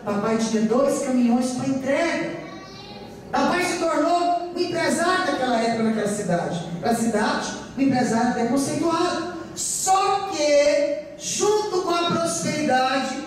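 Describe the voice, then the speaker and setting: High-voiced Brazilian woman preaching in echoing hall. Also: expressive, unhurried, a little mellow. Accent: Brazilian, from Goiás. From Goiás, Brazil. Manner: emphatic, repetitive oratory with rhetorical questions to the congregation. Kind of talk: sermon with personal testimony. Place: church during a live service. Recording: echoey church hall, distant mic, faint noise.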